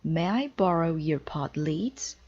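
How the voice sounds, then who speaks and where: A woman's voice: deep voice, expressive, takes it slowly, a little mellow, breathy edge, lo-fi sound, heavily acted. A woman reading a children's storybook, voicing a cheerful, literal-minded, scatterbrained housekeeper in an earnest, exclaiming way. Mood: innocent, chirpy. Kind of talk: children's storybook read-aloud.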